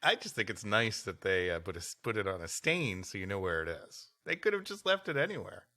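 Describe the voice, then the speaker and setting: A man's voice: fairly low pitch, sing-song, fairly quick, pushed and bright. A man co-hosting a comedy podcast, moving between scripted storytelling and bantering chat.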